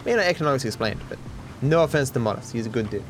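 A man's voice: medium pitch, lively intonation, rapid-fire, slight background noise. Tired man with a cultivated Australian accent. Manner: musing, trailing off with fillers and restarts. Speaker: streamer answering viewer questions. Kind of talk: casual rambling Q&A.

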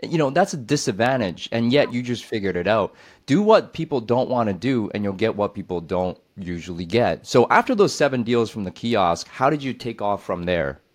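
A man's voice: fairly low pitch, animated, normal speed. A man hosting a podcast interview, effusive and hesitant, with many fillers. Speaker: podcast host.

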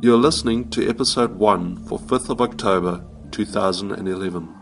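A man hosts a podcast with a scripted, measured introduction. His voice is fairly low, and music plays behind him. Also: vocal fry. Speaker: podcast host.

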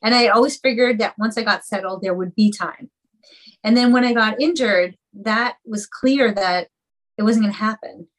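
A woman talks openly and earnestly in an interview, her mid-range voice carrying long, heartfelt stretches without pause. She is a mother and singer, sharing her story as a guest. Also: mid-range voice, rough recording. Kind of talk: personal interview conversation.

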